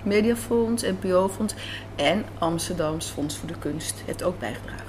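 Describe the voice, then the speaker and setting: Low-voiced woman chatting in a café interview. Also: moderate pace. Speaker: interviewed writer and director. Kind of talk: informal interview conversation. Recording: faint background room noise. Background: faint café ambience.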